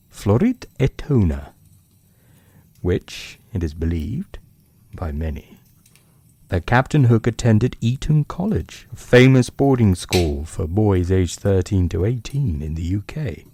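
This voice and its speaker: A man hosting a storytelling podcast, narrating from a script in bedtime-story style with dramatic pauses and savoured phrasing. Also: lowish voice, gravelly, mellow, husky, dry recording.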